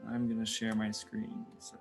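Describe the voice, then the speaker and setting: A man's voice: fairly low pitch, monotone, normal speed, gravelly, faint music behind. A young man presenting his home security project to a class, explaining hesitantly over a video call.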